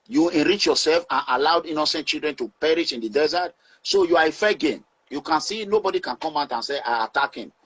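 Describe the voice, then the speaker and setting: A Nigerian man speaking in a forceful, preaching way as he gives advice to his online audience. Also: on the high side, slightly rough, poor audio.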